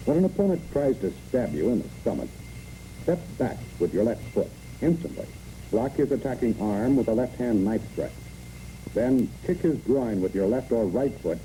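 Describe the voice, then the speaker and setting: A man's voice: medium pitch, big pitch swings, moderate pace, dark tone, slight background noise. A man reads scripted instructional narration for a training film in clipped, imperative phrases, on a muffled old film recording.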